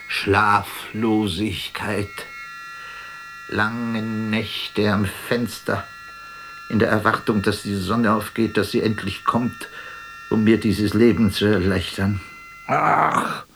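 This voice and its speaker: A man playing a world-weary, self-mocking stage actor in a radio drama monologue, declaiming haltingly with bitter irony and often sinking to a breathy whisper. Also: fairly low pitch, a bit bright, slight background noise, exaggerated voice. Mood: weary, melancholy.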